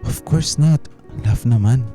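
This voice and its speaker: An actor playing an evasive, irritable cheating husband in a radio drama, halting when cornered. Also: male voice, mid-range voice, warm tone, lightly acted. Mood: defensive. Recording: music behind the dialogue.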